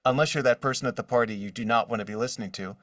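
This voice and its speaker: A man's voice: mid-range voice, racing, fairly bright tone, no room sound, studio quality, distant mic. A man speaking as a voice actor guest in a casual podcast interview, conversational and given to quoted asides.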